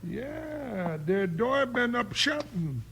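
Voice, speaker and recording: An actor playing a comic, easily bewildered cowboy deputy in a 1950s radio western, with an American folksy drawl and a gravelly voice. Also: male voice, very high-pitched, sing-song, slow pace, heavily acted. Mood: amused, folksy. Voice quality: creaky, gravelly. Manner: folksy drawl with cowboy slang and bad grammar. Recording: noisy old 1950s radio recording.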